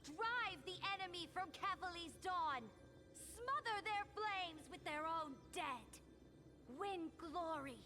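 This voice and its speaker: A woman voicing a commanding warrior queen who rallies her people in a rousing, declamatory way, over loud game music. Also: very high-pitched, lively intonation, slow pace, heavily acted.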